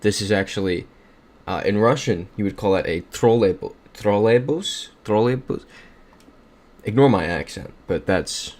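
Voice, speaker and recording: A man's voice: fairly low pitch, unhurried, a little mellow. A young man streaming casually from a home room at a desk mic, upbeat, thinking aloud and reading out chat messages. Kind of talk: casual livestream commentary.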